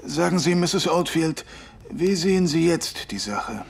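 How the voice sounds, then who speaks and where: A stern Victorian inspector in a radio play. His formal, commanding voice is high and swings widely in pitch. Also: animated, measured pace, vocal fry, slightly rough, heavily acted. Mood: stern, official. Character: stern Victorian police inspector making an arrest. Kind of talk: audio drama dialogue.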